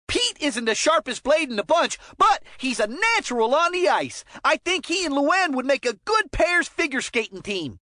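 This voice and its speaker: An actor voicing an excitable kid sports commentator in a cartoon hockey game, calling players with folksy enthusiasm. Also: sits high, no echo, studio quality, heavily acted.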